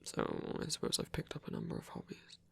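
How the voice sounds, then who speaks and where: A performer playing a gentle, caring male vampire priest in an intimate ASMR roleplay, speaking in a breathy whisper with a measured, slightly formal manner. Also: close to an everyday voice. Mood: gentle, reserved.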